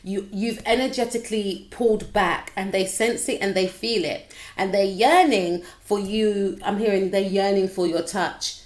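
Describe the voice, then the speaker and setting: Young woman tarot reader, croaky, talkative, middle pitch, direct address to viewer. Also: fairly even intonation, a little slow. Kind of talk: casual tarot reading to camera.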